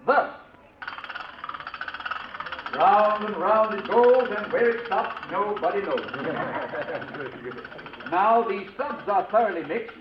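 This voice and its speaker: An actor playing a jovial, showy auctioneer with theatrical, coaxing patter in old-time radio. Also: male voice, high voice. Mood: suspenseful, showy. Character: showy, jovial charity auctioneer working a crowd. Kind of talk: 1940s radio acting. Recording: tinny, echoey, noisy old radio recording.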